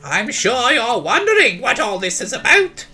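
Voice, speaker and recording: A man voicing an excitable, pompous little gnome king with a high, squeaky voice and long-winded delivery in a storybook reading. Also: moderate pace, slightly rough, faint music behind, exaggerated voice. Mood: grandly pompous. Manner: bombastic, long-winded speechifying.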